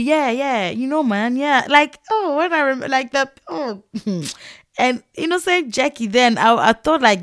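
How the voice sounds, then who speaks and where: A young woman from Ghana with a Ghanaian English accent, hosting a podcast and telling a story in a chatty, animated way with frequent laughs. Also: mid-range voice, no room sound, studio quality. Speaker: podcast host. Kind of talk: casual storytelling monologue.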